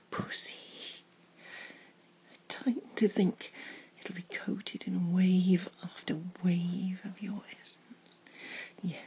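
Breathy woman in an intimate whisper. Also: on the low side. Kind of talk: intimate whispered erotic audio roleplay, second-person narration.

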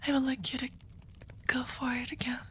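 A woman voicing an anxious, devoted young female vampire who confesses her love in a soft, hesitant whisper, in an intimate audio roleplay. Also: high-pitched, flat delivery, a little fast, slight background noise. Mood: tender, confessing.

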